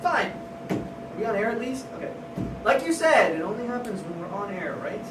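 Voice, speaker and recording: A woman's voice: mid-range voice, big pitch swings, a little fast, close to an everyday voice. A woman playing a flustered, placating male TV news anchor in an amateur comedy table read. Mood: reluctant, disbelieving. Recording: echoey room, distant mic, clear noise.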